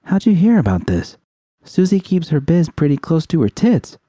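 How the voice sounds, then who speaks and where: A man's voice: fairly high pitch, very animated, moderate pace, warm tone, voice pushed up. A man voicing a defiant, blunt young woman who swears in disbelief, in audiobook dialogue. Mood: alarmed, blunt. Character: Judy, alarmed, defiant young woman techie. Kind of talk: first-person audiobook character dialogue.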